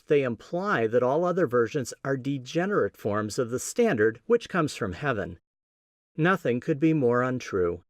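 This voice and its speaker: A man with a Midwestern American accent hosting a podcast, giving a scripted teaching talk in a measured, explanatory way with emphatic stresses. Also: mid-range voice, dry recording, clean studio sound. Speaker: podcast host.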